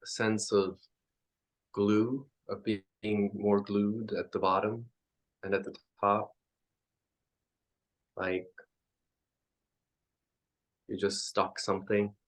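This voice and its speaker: Slow, low-voiced man guiding meditation. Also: flat delivery. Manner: halting, reflective phrasing with frequent pauses. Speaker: meditation teacher. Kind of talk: guided meditation.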